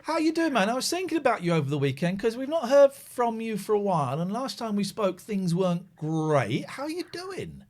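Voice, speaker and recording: Male late-night radio host, lively swooping delivery, rather high for a man, chatty. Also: normal speed, few pauses. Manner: animated, chatty, swearing.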